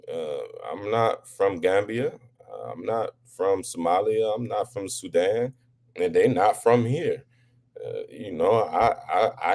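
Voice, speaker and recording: A man hosting a live talk show, talking casually to viewers and often repeating words and restarting, rising louder when impassioned. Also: sits low.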